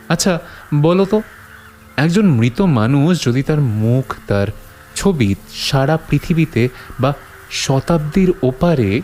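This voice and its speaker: A man playing a stubborn, imaginative horror writer in an audio story, deliberate and argumentative, with dramatic pauses. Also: mid-range voice, darkish tone, lightly acted. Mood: insistent. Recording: clear background ambience noise.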